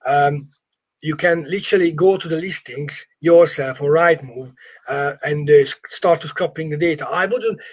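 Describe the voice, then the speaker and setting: Husky higher-pitched man, non-native English. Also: normal speed. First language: not English. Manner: emphatic, encouraging, repeats phrases. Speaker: property investment coach. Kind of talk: informal live training session.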